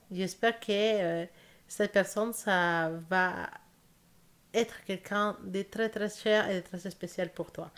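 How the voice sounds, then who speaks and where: A young woman from South America whose first language is Spanish speaks French with a Spanish accent, reading tarot slowly and carefully, with pauses and self-corrections. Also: mid-range voice. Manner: slow careful speech with hesitations and self-corrections. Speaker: tarot reader. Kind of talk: casual tarot reading to camera.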